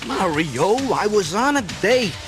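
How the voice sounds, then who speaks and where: An actor playing an earnest, naive younger-brother plumber in a radio play. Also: male voice, sits high, big pitch swings, a little slow, over music. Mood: flippant.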